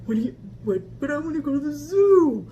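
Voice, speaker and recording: A woman voicing an excitable, childlike animal puppet sidekick for kids, eager and silly, repeating words over and over. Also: high voice, a little fast, dull audio. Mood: eager curious. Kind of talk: playful children's puppet banter. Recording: clear background noise.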